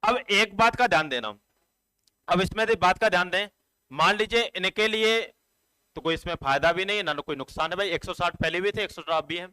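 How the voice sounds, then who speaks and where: A man's voice: sits high, fairly quick, sharp tone, clear, resonant tone, no echo, low-quality recording. A man giving an informal, explanatory exam update as a coaching teacher, addressing students directly in a repetitive, instructive way.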